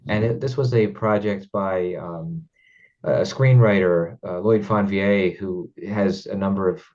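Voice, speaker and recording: A man hosting an interview, speaking casually and haltingly, often repeating words as he thinks aloud. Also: sits low, darkish tone, dull audio. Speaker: interview host.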